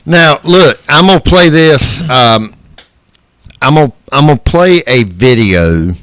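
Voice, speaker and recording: A man's voice: medium pitch, animated, slow pace, fairly bright tone, no echo. A man co-hosting a casual podcast, speaking bluntly and teasing. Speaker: podcast co-host.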